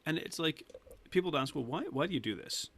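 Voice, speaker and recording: Guest coach, man in his 30s, gravelly voice, earnest and chatty, laughs a lot. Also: a little high, lively intonation, a little fast, fairly bright tone. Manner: earnest, laughs often, restarts sentences. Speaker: weight loss coach, guest. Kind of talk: casual interview talk.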